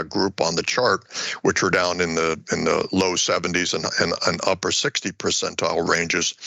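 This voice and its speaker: Older american guy, lowish and gravelly, explains research in an interview, kinda halting with repeats. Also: sharp tone, dry recording. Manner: hesitant, halting delivery with repeated words. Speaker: retired war college professor, research guest.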